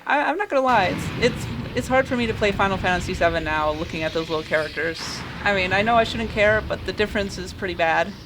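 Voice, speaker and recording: A man's voice: sits high, lively intonation, fast talker, few pauses, background noise. A man giving casual, somewhat hesitant video game commentary, often repeating his words. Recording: loud music behind the voice.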